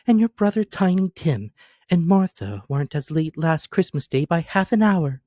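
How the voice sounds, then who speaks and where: A fussing, motherly poor mother, put on by a man. The voice is pitched up and bustling, and the sound is dry and close. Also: expressive, normal speed, dark tone, no echo.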